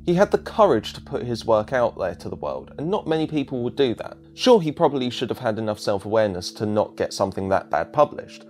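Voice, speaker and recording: A man's voice: medium pitch, darkish tone. A man narrating a scripted video essay as its presenter.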